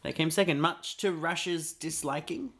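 A man's voice: on the high side, normal speed, a bit bright. A young Australian man with an Australian accent, co-hosting a comedy podcast in playful, teasing chat.

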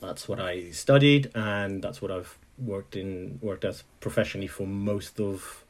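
A man's voice: on the low side, unhurried. A man from Italy, speaking as an interview guest in a thoughtful way, often restarting his sentences. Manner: thoughtful, full of restarts and fillers. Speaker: guest, software engineer. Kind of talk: interview conversation.

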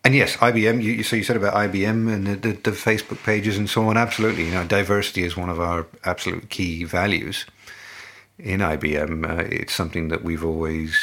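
A middle-aged man, a native English speaker, answering interview questions in a measured, halting way with many fillers and restarts. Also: sits low, steady tone, fairly bright tone. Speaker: company representative, interviewee.

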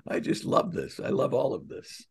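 A man co-hosting a casual interview, effusive and halting, often repeating his phrases as he goes. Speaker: podcast co-host.

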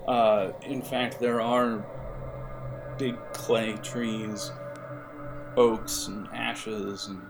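A man running a tabletop game as game master, improvising scene descriptions in a hesitant, halting way. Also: lowish voice, little pitch movement, over music.

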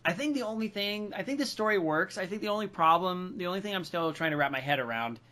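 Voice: mid-range voice, animated, quick pace, sharp tone. A podcast co-host chatting casually and thinking aloud in a group discussion.

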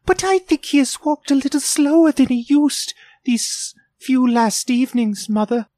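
A man voicing an earnest young boy, pitched high. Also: a little slow, a little mellow, no room sound.

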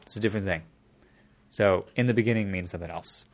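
A man's voice: sits low, fast. A man in casual conversation, explaining a topic at length in a thoughtful way, often restarting his sentences.

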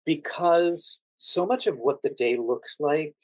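A man's voice: fairly high pitch, takes it slowly, no room sound. A middle-aged man from the United States speaking as a podcast guest, explaining and telling anecdotes conversationally. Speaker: podcast guest, actor and standardized patient.

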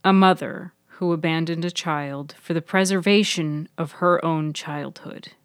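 A woman's voice: low voice, steady tone, measured pace, dry recording, studio quality. A woman reading a scripted serial story as its author and narrator.

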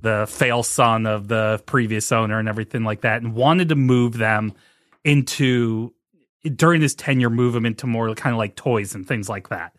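A man's voice: medium pitch, steady tone, moderate pace, fairly bright tone, no echo, studio quality. A man in his forties hosting a podcast, telling a long story in a chatty, rambling way with restarts. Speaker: podcast host. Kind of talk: casual podcast chat.

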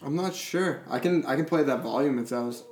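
A man's voice: mid-range voice, brisk, a little mellow. A man who is a guest musician, chatting in a laid-back, easygoing way on a podcast. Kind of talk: casual podcast conversation. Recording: roomy echo.

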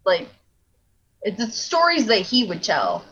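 A woman's voice: medium pitch, huge pitch swings, measured pace, fairly bright tone, husky, muffled recording. A woman comedian co-hosting a podcast, frank and joking, a little tipsy. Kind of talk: casual podcast chat.

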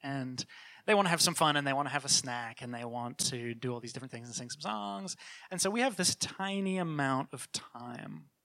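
Middle-aged man, mild New Zealand accent, earnest church speaker, bright tone, casual restarts. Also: mid-range voice, normal speed. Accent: New Zealand, softened by years in Canada. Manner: earnest, conversational, with frequent restarts. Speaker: children's ministry leader. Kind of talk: church talk with personal testimony.

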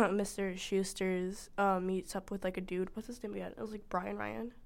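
A woman's voice: mid-range voice, little pitch movement, normal speed, vocal fry. A teenage girl co-hosting a casual radio chat, chatty and teasing, dropping to whispers for asides. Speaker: co-host.

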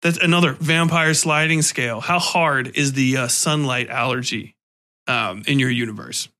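A man hosting a casual interview, talking in an animated, freely swearing way and acting out little sound effects. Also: mid-range voice, normal speed. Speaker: podcast host interviewing a guest.

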